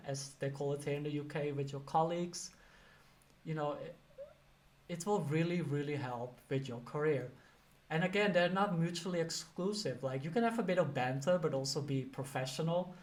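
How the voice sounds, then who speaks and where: Chatty man with a Dutch accent. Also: a little high. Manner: chatty, many fillers like "you know" and "like". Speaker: career coach. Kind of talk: casual advice vlog. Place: lives and works in the UK.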